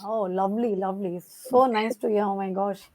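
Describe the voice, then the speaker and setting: A woman's voice: mid-range voice, steady tone, normal speed, over a noisy crowd. A woman hosting a chat show interview, effusive in her praise and backchanneling often. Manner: effusive, constant "hmm" backchannels.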